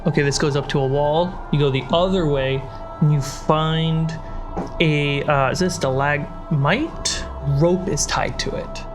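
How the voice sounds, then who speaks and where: Game master for fantasy actual-play, mid-range pitch, descriptive narration to players, prominent score behind. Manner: second-person descriptive narration. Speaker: game master of a tabletop roleplaying show. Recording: loud cinematic music behind the voice. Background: cinematic score and sound design.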